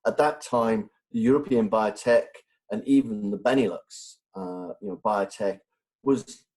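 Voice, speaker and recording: A man's voice: fairly low pitch, lively intonation, measured pace, gravelly, darkish tone, rough recording. A man interviewing a guest, asking questions hesitantly with many "you know"s and "uh"s.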